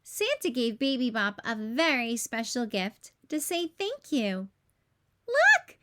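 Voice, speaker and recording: A woman hosting a read-aloud, narrating a children's storybook in an expressive, careful storytelling style. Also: on the high side, measured pace, heavy background noise.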